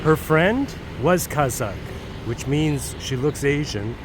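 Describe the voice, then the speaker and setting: A man's voice: a little high, animated, slow. A Canadian man vlogging casually while walking a street, rambling and chatty with many fillers. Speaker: travel vlogger. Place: busy street outdoors. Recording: noisy street recording. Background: loud traffic.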